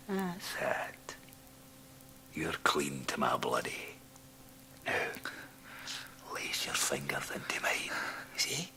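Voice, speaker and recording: An actor with a Scottish accent playing a sneering, violent Victorian villain in a radio drama, mocking and coarse. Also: male voice, very deep voice, bright, forceful tone, heavily acted. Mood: quietly menacing.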